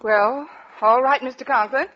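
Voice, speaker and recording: An actress playing a sharp-witted, tired high school teacher with a wry, wisecracking manner in a 1940s radio comedy. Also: female voice, fairly even intonation, moderate pace, natural voice. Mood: flustered. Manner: wry wisecracks, flustered under pressure. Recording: noisy, muffled 1940s radio broadcast.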